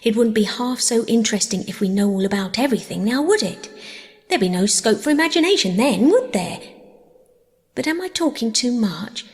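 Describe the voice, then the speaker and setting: A woman's voice: on the high side, lively intonation, moderate pace. Woman as a gushing young orphan girl, long reverb. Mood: eager, curious. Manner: gushing, talkative, wordy. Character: chatty, dreamy, eager young orphan girl. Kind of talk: audiobook character voice.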